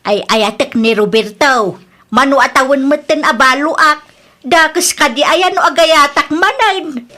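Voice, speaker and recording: A woman's voice: high-pitched, unhurried, pushed and bright. An actress with an Ilocano Filipino accent playing a defensive, lovestruck middle-aged mother in an audio drama. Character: defensive lovestruck mother duped by young boyfriend.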